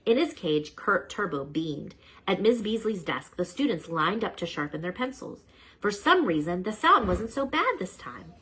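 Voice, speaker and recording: A woman reading a children's storybook aloud as an animated storyteller. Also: lowish voice, moderate pace, slightly rough, poor audio. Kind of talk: expressive read-aloud narration.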